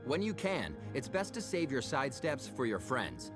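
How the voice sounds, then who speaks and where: A man's voice: medium pitch, wildly swooping pitch, normal speed. Male narrator for a game tutorial, mid-range voice, reading from script over music. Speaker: narrator of a game character guide.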